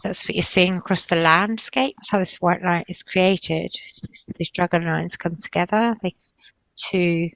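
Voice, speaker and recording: Woman channeling visions, with creaky voice. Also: fairly low pitch, dry recording, rough recording. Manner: halting, repetitive, searching phrasing. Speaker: spiritual healer channeling in a session. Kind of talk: unscripted trance-like spiritual channeling, describing visions.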